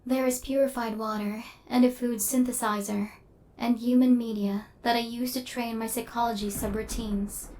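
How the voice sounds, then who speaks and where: A woman voicing a coldly logical but tenderly possessive female killer robot in an ASMR roleplay, with formal, deadpan, machine-like phrasing. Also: a little high, normal speed, lightly acted, rough recording. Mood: matter-of-fact, caring.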